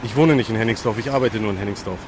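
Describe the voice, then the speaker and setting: A man's voice: lowish voice, racing, noisy background. A man in his thirties from Berlin, answering street interview questions in a matter-of-fact, dry way. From Berlin, Germany. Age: 30s. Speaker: passer-by interviewee.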